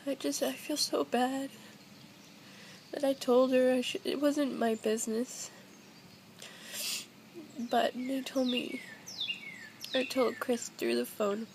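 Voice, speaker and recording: A young woman shares something personal in a halting, casual way. Her voice is high with plenty of vocal fry, and faint noise sits behind it in a simple home recording. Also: little pitch movement, a little mellow. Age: young adult. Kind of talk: personal confessional vlog, casual talk.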